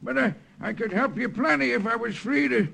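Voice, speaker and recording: Gravelly, high-pitched old dockside scoundrel. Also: male voice, fairly quick, bright, forceful tone, a little hiss or hum, exaggerated voice. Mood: sly, ingratiating. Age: older. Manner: folksy, rambling, ingratiating. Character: old half-cracked wheedling dockside drunk and informer. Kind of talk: old-time radio drama acting. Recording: vintage radio drama recording.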